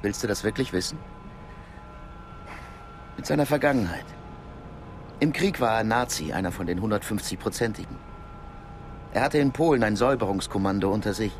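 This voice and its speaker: Low-voiced, controlled secret agent played by an actor. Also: male voice, normal speed, pauses often, a little hiss or hum, natural voice. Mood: frank. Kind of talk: naturalistic radio drama acting.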